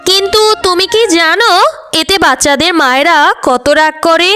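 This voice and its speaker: A woman's voice: extremely high pitch, moderate pace, pushed and bright. A woman voicing a spiteful, scheming female animal neighbour in a cartoon fable, with a catty, taunting delivery. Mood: snide, needling. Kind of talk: melodramatic cartoon voice acting.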